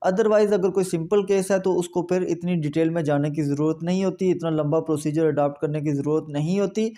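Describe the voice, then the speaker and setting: High-pitched Pakistani man, mellow explainer. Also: steady tone, a little fast. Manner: methodical, explains step by step with repetition. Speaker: legal explainer, lawyer. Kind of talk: explanatory legal lecture.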